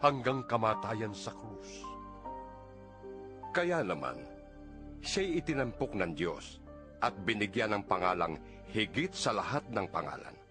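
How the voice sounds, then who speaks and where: A man with a Filipino accent narrating an audio Bible in a solemn, earnest, measured dramatized reading. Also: on the low side, very animated. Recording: music under the voice. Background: background music.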